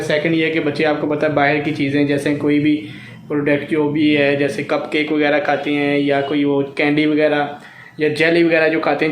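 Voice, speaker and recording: A man, likely in his thirties, talking casually to camera as a family vlogger, explaining things and repeating his advice. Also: on the high side. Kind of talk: casual vlog talk to camera. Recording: echoey room sound, clear background noise.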